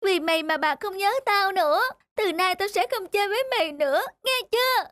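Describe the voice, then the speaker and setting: A performer voicing a young, emotional, easily jealous cartoon girl with an expressive, plaintive, childlike delivery. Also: extremely high pitch, fairly quick. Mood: scolding. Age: child character. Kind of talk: cartoon voice acting.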